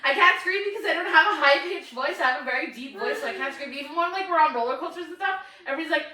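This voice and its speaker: A woman co-hosting a casual chat show, loud and quick to laugh. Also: high voice. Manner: laughs often, loud and animated. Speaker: podcast co-host. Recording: echoey room, mic at a distance.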